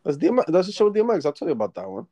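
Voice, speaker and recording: A man in a relaxed, profane casual chat who often trails off mid-thought while making his point. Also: fairly high pitch, racing.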